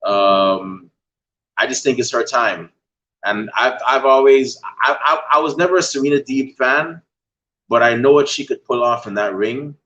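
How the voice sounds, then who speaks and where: A man's voice: medium pitch, unhurried, lo-fi sound, a little hiss or hum. Laid-back Guyanese man hosting a podcast. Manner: relaxed, laid-back, uses y'all. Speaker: podcast host. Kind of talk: casual chat.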